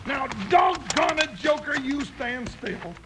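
An actor playing a big, jittery, comic cowboy sidekick with folksy, grumbling American talk in a 1950s radio Western. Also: male voice, extremely high pitch, expressive, slow pace, exaggerated voice. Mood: nervous. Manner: folksy, whiny frontier talk. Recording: very noisy old-time radio recording.

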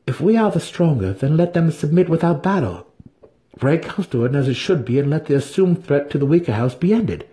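A man playing a young male dark elf noble who speaks earnestly and tentatively, in a fantasy storybook reading. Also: fairly high pitch, expressive, fairly quick, voice pushed up, lightly acted. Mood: earnest pleading.

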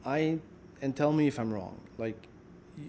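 A man's voice: mid-range voice, animated, a little slow, warm tone. A male interviewer with a mid-range voice talks in loose, winding sentences. He fills his questions with "like" as he works out what he means. Faint background noise sits under him. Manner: rambling, thinks aloud with many "like"s. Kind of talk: casual conversational interview.

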